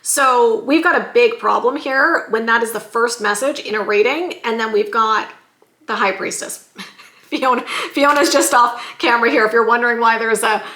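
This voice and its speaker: Woman, tarot reader, chatty, sassy, slightly hoarse, a bit high-pitched, echoey room. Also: normal speed, bright, forceful tone. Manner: chatty and sassy, addresses viewers as "you guys". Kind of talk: casual tarot reading vlog.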